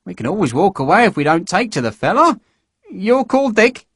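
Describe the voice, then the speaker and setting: A man voicing a small, sharp-featured young man who talks in an eager, coaxing way. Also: sits high, very animated, a little fast, breathy edge, dry recording, clean studio sound, voice pushed up, exaggerated voice.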